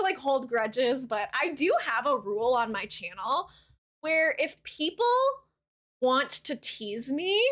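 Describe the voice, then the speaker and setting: A woman in her thirties with a very high, bright, ringing voice talks casually to her live-stream chat. She rambles and fills her sentences with "like", and she warmly thanks new followers. Her tone is open and conversational. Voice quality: high-pitched voice. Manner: chatty, rambling, many "like" fillers. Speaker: Twitch streamer.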